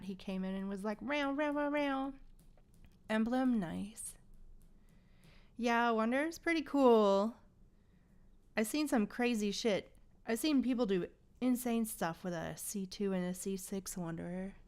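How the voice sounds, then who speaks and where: Woman game streamer, smooth clean voice, chatty, informal, gamer slang, close microphone. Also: on the high side, a little mellow. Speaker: game livestreamer. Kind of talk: casual livestream chat.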